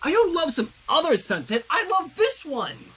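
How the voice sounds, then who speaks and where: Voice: sits high, huge pitch swings, normal speed, noisy background, pitched above the performer's own voice. A reader voicing an anxious, brainy, lovestruck teenage girl with an earnest, emotional and wordy way of talking in a story reading. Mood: defiant.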